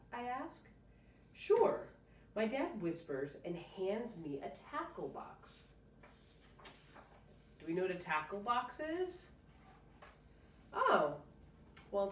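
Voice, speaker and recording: A woman teacher reading a picture book aloud to a child, pausing to explain and prompt with encouraging questions. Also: mid-range voice. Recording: roomy, distant mic, faint noise.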